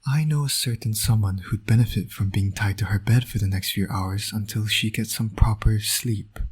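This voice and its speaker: A man playing a flirtatious, teasing supervillain and doting father in an intimate audio roleplay, with a husky, breathy voice. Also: on the low side, moderate pace. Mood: wry teasing.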